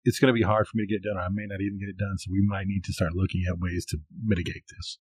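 A man chats casually as a podcast co-host. His voice is on the low side, and the sound is dry, with hardly any room in it. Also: little pitch movement, rapid-fire, breathy edge, no echo. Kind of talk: casual conversation.

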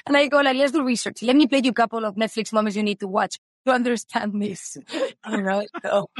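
A woman's voice: fairly high pitch, fairly even intonation, fairly quick, dry recording, clean studio sound. Spanish-accented woman author, eager. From Spain. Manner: earnest, eager, with non-native phrasing. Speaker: guest author. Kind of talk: casual interview chat.

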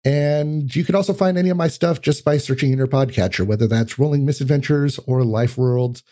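A man's voice: medium pitch, fairly quick, dark tone. A man talking as a podcast guest, explaining things in a casual, conversational way.

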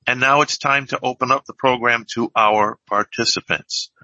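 A man's voice: mid-range voice, steady tone, normal speed, sharp tone, no room sound, muffled recording. A man hosting a program, announcing it and moderating the calls. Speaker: program host.

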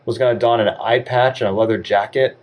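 A man's voice: mid-range voice, fairly even intonation, a little fast, darkish tone. A man co-hosting a podcast, chatting casually with wry, dry humor. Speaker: podcast co-host. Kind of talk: casual chat.

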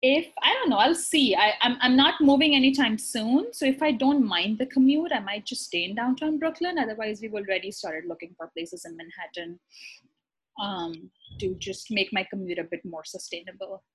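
A woman's voice: fairly high pitch, moderate pace, fairly bright tone, breathy edge, low-quality recording. A woman in her thirties from Pakistan with a Pakistani accent, a physician talking casually in an interview, thoughtful, with many fillers and restarts. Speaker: physician, guest.

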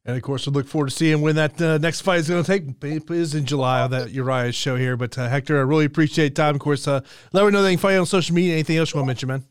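Quick, smooth-voiced interview host. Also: male voice, a little high, steady tone, dry recording, studio quality. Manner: hesitant, repeats words while framing questions. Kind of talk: interview questions.

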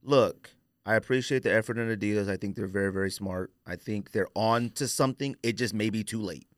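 A man's voice: lowish voice, brisk, no room sound, studio quality. An American man in his forties hosting a podcast, debating sneakers casually and emphatically, repeating phrases for stress. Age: 40s. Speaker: podcast host.

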